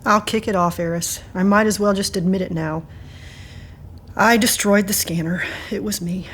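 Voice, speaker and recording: A woman's voice: medium pitch, steady tone, normal speed, noisy background, natural voice. A woman playing a blunt, defiant character in an audio drama, dry and pointed in delivery. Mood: guilty, resolute.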